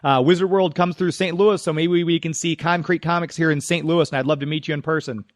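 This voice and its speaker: A man with a slightly high voice hosts a casual radio interview. His sound is close and dry, free of room echo. He speaks in an affirming, encouraging manner as he moves the conversation along. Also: fairly even intonation, quick pace. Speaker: radio show host, interviewer.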